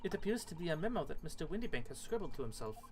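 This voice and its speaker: A man's voice: on the high side, very animated, rapid-fire, a little hiss or hum. A man reading as a polite, earnest and wryly observant assistant in a fan dub of a detective game. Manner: formal, courteous.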